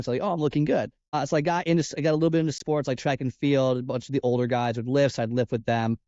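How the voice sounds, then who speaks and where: A man's voice: mid-range voice, dark tone, no echo. A man with a nasal voice, a fitness coach guest on a podcast, talking casually and rambling with lots of "like" and restarts. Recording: dull, lo-fi podcast audio.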